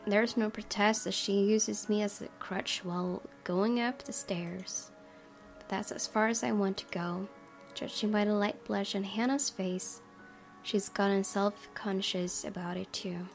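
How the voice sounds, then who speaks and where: A woman game streamer reading a visual novel aloud as expressive narration. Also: medium pitch, unhurried, soft background music.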